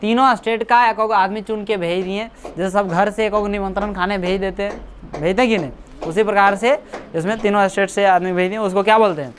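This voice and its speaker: A woman's voice: medium pitch, fairly even intonation, brisk, slight background noise. A woman coaching-class teacher giving a classroom history lecture, often checking that students follow and repeating dates. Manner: keeps checking understanding, repeats dates, teasing asides.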